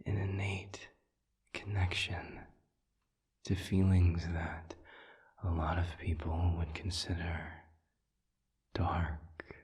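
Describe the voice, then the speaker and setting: A man playing a calm, considerate demon in an intimate audio roleplay, speaking in a breathy near-whisper with measured, formal, gentle phrasing. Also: deep voice, slight background noise. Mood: gentle, thoughtful. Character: calm, considerate male sadism demon offering comfort.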